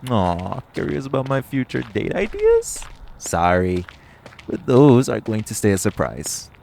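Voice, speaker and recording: A man's voice: mid-range voice, huge pitch swings, unhurried, vocal fry, darkish tone, a little hiss or hum, exaggerated voice. A man playing a cocky, flirtatious vampire who banters sardonically and swears in an audio roleplay. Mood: playful, smug. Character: cocky, teasing, flirtatious male vampire, long-lived yet youthful.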